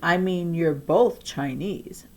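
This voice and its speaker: A matter-of-fact young schoolgirl , read by a woman storyteller, in a children's audiobook. The delivery is plain and unhurried, over a noisy recording. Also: fairly low pitch. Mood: unsurprised.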